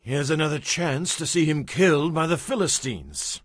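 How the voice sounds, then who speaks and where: A man's voice: fairly high pitch, lively intonation, moderate pace, dry recording, clean studio sound. An actor playing a jealous, scheming king in a dramatized audio Bible, with a theatrical, emphatic manner. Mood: muttering, scheming. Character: jealous, scheming king plotting a rival's death.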